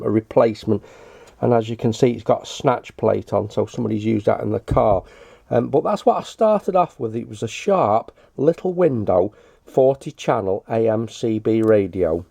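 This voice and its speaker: A man's voice: mid-range voice, vocal fry, warm tone. A middle-aged British man giving an unscripted, matter-of-fact show-and-tell as a hobbyist repairer, with some hesitation. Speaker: radio repairer presenting a hobby video.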